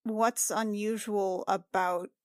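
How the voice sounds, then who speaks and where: A female tabletop player improvises in casual, blunt speech. Her voice sits at a middle pitch and is recorded dry and close. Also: steady tone, slow, no echo. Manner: blunt, informal. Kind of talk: casual in-character improvised chat.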